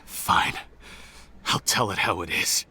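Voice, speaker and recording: An actor voicing a bitter, despised researcher turned criminal in a video game, with a theatrical, sneering manner. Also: male voice, low voice, takes it slowly, bright, forceful tone, a little hiss or hum, full character voice. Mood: bitter, sardonic. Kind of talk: dramatic video game cutscene acting.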